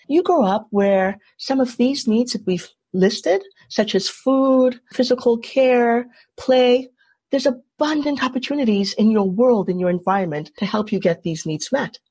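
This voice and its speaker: A woman's voice: fairly high pitch, normal speed, dark tone, husky. A woman from Trinidad leading an online workshop, putting questions to the group and explaining in an engaging way over a video call. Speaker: workshop facilitator.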